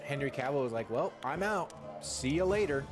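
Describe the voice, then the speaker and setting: A male game streamer talks casually while he plays. He is earnest and rambles a little, with a mid-range voice. Loud game music runs behind him. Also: medium pitch, lively intonation, brisk. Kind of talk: casual live stream chat while playing. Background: loud game music and dialogue.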